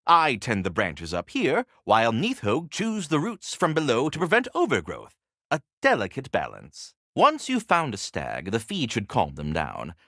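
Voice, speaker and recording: A man's voice: mid-range voice, wildly swooping pitch, moderate pace, sharp tone, dry recording, clean studio sound, exaggerated voice. A man voicing a polite, erudite giant squirrel, keeper of a world tree, in a video game, with pompous, florid diction. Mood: anxious, eager. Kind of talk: scripted video game character dialogue.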